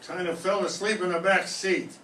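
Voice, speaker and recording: A man's voice: high voice, little pitch movement, normal speed, pushed and bright. An older man playing a lazy unemployed boyfriend whining that he was framed, in an amateur radio drama reading. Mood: protesting. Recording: echoey room, far from mic, faint noise.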